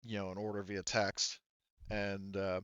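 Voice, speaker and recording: A man narrating a tabletop game as game master, conversational and halting, pausing to rephrase as he goes. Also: lowish voice, little pitch movement, a bit bright, clean tone, dry recording, lo-fi sound.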